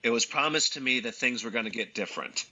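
Man, mid pitch, sharply bright forceful tone, earnest halting talk, slightly lo-fi recording. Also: expressive, husky. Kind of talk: casual reflective conversation.

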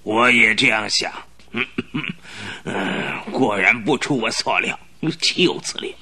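An actor playing a pompous, irritable old duke who grunts and clears his throat in a radio drama. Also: on the low side, a little slow, bright, forceful tone, exaggerated voice. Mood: grumbling. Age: older.

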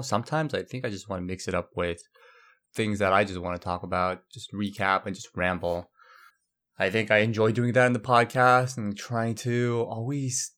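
A man's voice: fairly low pitch, fairly bright tone. A young man from Brazil hosting a solo podcast, rambling casually with many fillers and restarts. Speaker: podcast host.

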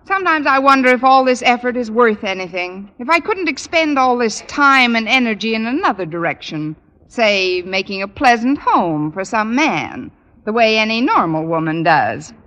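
Noisy old radio recording with audience, sardonic woman teacher, slightly high voice, lively intonation, dry wisecracks, sitcom style. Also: moderate pace, muffled recording. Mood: wistful, hinting. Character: wry, sharp-tongued woman high school English teacher. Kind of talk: 1940s-50s radio sitcom acting.

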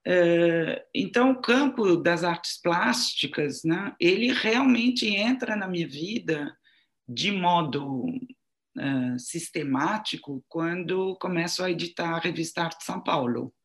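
A woman in her sixties, speaking her second language, answers reflectively in an interview, halting as she searches for words. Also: lowish voice, lively intonation. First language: not Portuguese (Portuguese is second language). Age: 60s. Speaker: interviewee, writer and art journalist.

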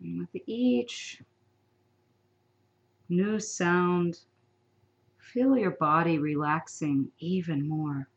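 A woman leading a guided meditation in a soothing, unhurried manner, with long pauses between phrases. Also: lowish voice, steady tone.

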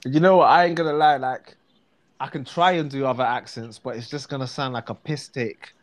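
A man's voice: mid-range voice, fairly even intonation, fairly quick. Brief: man, live stream co-host, slangy, chatty, easygoing. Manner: laid-back street slang, chatty, laughs often. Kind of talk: casual live chat.

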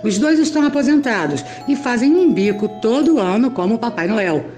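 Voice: medium pitch, lively intonation, normal speed, a little mellow, faint music behind. A narrator with a Brazilian accent telling a scripted story in a colloquial, conversational way.

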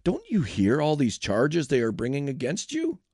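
Man acting as Pilate, emphatic Roman governor, pitched up. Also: big pitch swings, fairly quick, darkish tone, dry recording, clean studio sound, exaggerated voice. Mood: demanding. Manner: emphatic, questioning, declamatory. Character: Pilate, stern Roman governor questioning, exasperated.

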